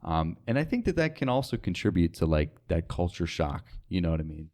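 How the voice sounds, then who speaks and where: A young American man with a very low voice speaks in a casual interview. He is earnest and reflective, leaning on colloquial filler as he thinks things through. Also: sing-song, a little fast, darkish tone. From North Dakota, United States. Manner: informal, reflective, earnest, with frequent "like". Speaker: interview guest, radio sales associate.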